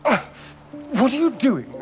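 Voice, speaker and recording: A vain, wounded elf rogue from a fantasy game. He is theatrical and prickly, lingering over words while his pitch swings widely. Soft music sits behind the voice. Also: very animated, drawn-out words, exaggerated voice. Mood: startled, guarded. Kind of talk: video game dialogue acting.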